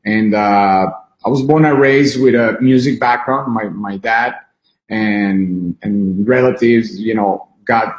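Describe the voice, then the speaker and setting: A man from Venezuela speaking as an interview guest in a conversational, rambling, halting way over a video call. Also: fairly low pitch. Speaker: interview guest, film director and musician. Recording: roomy, dull video call audio.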